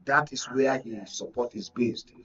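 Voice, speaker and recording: A man's voice: mid-range voice, unhurried, sharp tone, breathy edge. A Nigerian man giving emphatic political analysis in a discussion, repeating points and checking that the listener follows. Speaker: media analyst and guest. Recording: muffled, distant mic, lo-fi sound.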